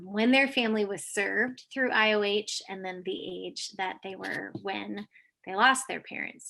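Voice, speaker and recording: A woman's voice: mid-range voice, vocal fry, sharp tone, slight background noise. A woman hosting and moderating a panel conversation, introducing each guest with frequent ums. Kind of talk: warm-up of a video call panel.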